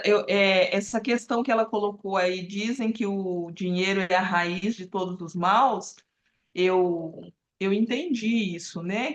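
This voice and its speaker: A woman in her forties, a therapist and guest on a live video call, explaining in an earnest, unhurried way with frequent fillers. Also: mid-range voice. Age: 40s. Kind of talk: casual live-stream talk.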